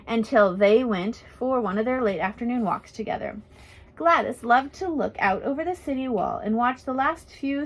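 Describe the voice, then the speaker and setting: A woman reading a biographical storybook aloud as scripted narration, in an expressive storytelling manner. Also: fairly high pitch, low-quality recording. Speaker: audiobook reader.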